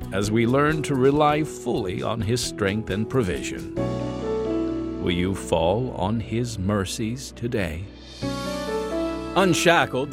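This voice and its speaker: Earnest male devotional narrator over music. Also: mid-range voice. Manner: earnest, measured preaching. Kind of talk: scripted radio devotional narration. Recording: music bed behind the voice.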